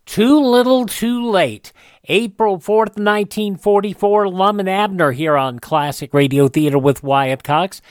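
High-voiced American male radio host. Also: a little slow, clean tone, no echo, soft background music. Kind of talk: scripted radio show outro. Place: dry studio, music behind.

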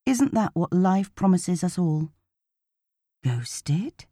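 A woman reading a first-person novel as an audiobook narrator, in an expressive, conversational storytelling way. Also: on the low side, measured pace, no echo, clean studio sound.

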